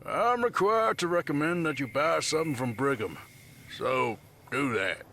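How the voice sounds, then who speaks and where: A man playing a blunt, bored biker raider turned bodyguard in a video game, curt and deadpan. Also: fairly high pitch, moderate pace, a little hiss or hum. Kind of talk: video game dialogue acting.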